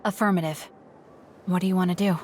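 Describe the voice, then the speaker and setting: A woman's voice: on the low side, steady tone, brisk, darkish tone, soft background music, natural voice. Cool, terse young woman fighter voiced by an actress. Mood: focused. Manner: cool, terse, matter-of-fact. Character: stoic, blunt young woman fighter, big-sister type. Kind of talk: English video game voice acting.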